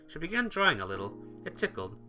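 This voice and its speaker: A man's voice: a little high, huge pitch swings, fast, sharp tone, soft background music. A man reading a visual novel aloud for a playthrough, narrating and voicing the hero's lines. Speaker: let's-play narrator.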